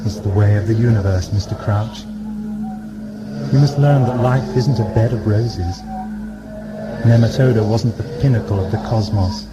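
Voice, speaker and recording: Guy acting a ghostly mentor in an audio play, sombre n reproachful, lowish voice, loud music under it. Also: dull audio, natural voice. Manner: measured, lecturing. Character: destroyed Doctor, a sombre phantom mentor, reproachful.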